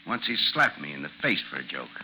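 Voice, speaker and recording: A slightly noisy vintage radio drama recording carries a vengeful gentleman duellist. His voice is hard and piercingly bright, with animated pitch swings. He speaks formally and deliberately, with cool mockery. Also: heavily acted. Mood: bitter, controlled. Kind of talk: 1950s radio drama acting.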